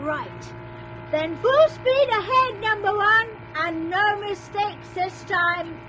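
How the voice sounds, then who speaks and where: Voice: very high voice, slow, background noise. An actor playing a bossy, playful young child at captain games in a radio drama.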